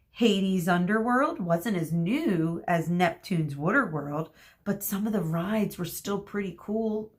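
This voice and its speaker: A woman narrating a children's story aloud like a teacher, expressive, dramatic and deliberate. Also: lowish voice. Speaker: teacher reading aloud to students.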